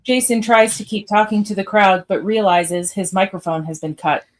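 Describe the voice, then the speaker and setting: A woman's voice: mid-range voice, fairly even intonation, moderate pace, slight background noise. A woman narrator reading screenplay stage directions aloud in a scripted live read.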